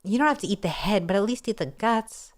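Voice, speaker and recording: A woman's voice: mid-range voice, animated, fairly quick, close to an everyday voice. A sassy young sorceress from a fantasy storybook, acted out by a woman reader. She is playful and boastful, exclaiming and lilting her words as if very pleased with herself. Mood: bold, teasing.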